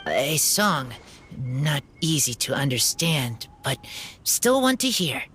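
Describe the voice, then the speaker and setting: Game wolf-boy: an earnest feral youth, low-voiced, animated in pitch yet halting, clipped phrases. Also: a little hiss or hum, full character voice. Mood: hesitant, curious. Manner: halting, clipped simple sentences. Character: feral teenage boy raised by wolves, earnest, reserved. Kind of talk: animated video game dialogue. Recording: faint music behind the voice.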